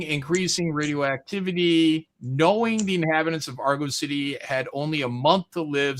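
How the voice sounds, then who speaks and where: Male livestream host, forceful bright tone, casual and sweary, stumbles when reading. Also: a little high. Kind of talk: casual livestream chat and halting read-aloud.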